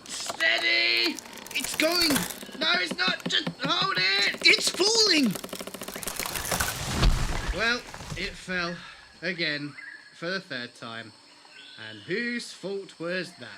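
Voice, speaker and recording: An actor playing an anxious, exasperated settler in an audio drama, fretful and complaining. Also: male voice, extremely high pitch, animated, drawn-out words, creaky, long pauses, background noise, full character voice.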